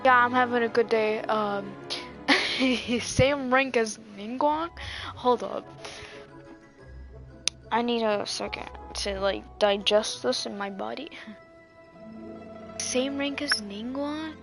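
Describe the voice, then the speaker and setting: A woman streamer chatting casually over her game, playful and joking. She talks in a slow, fairly high voice with loud game music behind her. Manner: playful, joking, laughing asides. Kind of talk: casual gameplay commentary.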